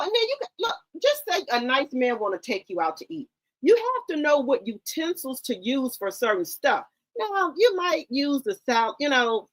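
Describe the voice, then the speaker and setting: A middle-aged woman hosting casual commentary, blunt and lecturing, mixing slang into her talk. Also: high-pitched. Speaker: commentary host.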